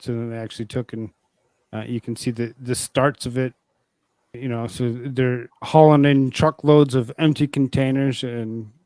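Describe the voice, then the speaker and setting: An American man hosting a show with casual news commentary, talking in a halting, loosely phrased way. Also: medium pitch, darkish tone, no room sound. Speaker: show host.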